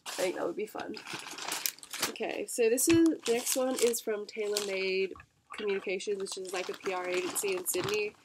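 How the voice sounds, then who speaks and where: Young woman, stuffy nose, chatty, bubbly, fry in the voice, noisy home recording. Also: medium pitch, steady tone, normal speed. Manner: chatty, bubbly, lots of fillers and likes. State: congested from a cold. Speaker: vlogger. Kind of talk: casual unboxing chat.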